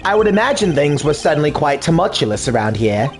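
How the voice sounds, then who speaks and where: A man voicing a composed, formal male prosecutor who questions a suspect in precise, measured phrases. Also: on the high side, very animated, faint music behind, voice pushed down. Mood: probing. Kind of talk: fan dub of a video game.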